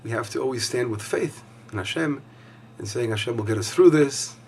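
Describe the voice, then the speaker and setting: A hoarse man teaching a weekly Torah lesson as a rabbi, talking conversationally with frequent fillers and restarts. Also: medium pitch, a little fast, darkish tone, slight background noise.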